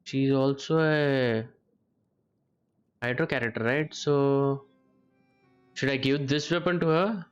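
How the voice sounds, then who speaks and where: A man's voice: mid-range voice, fairly even intonation, slow pace, long pauses, dull audio. A man giving casual live game commentary, thinking aloud and often repeating his phrases. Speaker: game streamer. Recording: game music behind the voice. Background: video game music.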